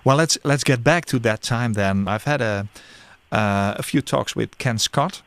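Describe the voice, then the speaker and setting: A man's voice: mid-range voice, unhurried, a bit bright, clean tone, dry recording. A man interviewing a guest, a non-native English speaker whose first language is Dutch, often repeating words as he shapes his questions. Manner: hesitant, repeats words while forming questions.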